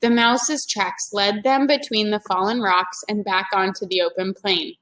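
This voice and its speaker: A woman's voice: medium pitch, a little slow, fairly bright tone, low-quality recording. A woman reading a children's story aloud in a teacherly way, explaining things to young listeners. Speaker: teacher-like read-aloud reader.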